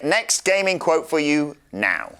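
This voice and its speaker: A woman hosting a playful game-show quiz, animated and theatrical in her delivery. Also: sits low, slow pace. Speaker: quiz host and quote reader.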